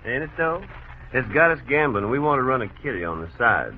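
A man's voice: mid-range voice, big pitch swings, brisk. A man from Texas trading short, folksy comic banter as a musician on an old-time radio show. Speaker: band bass player, guest on quiz show. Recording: tinny, noisy vintage radio recording.

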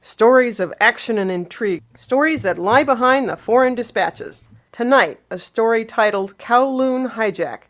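A vintage radio broadcast introduction. A woman plays a dramatic announcer with a slightly high voice. The delivery is measured, scripted and portentous, setting up stories of intrigue. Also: expressive, lightly acted. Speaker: programme announcer.

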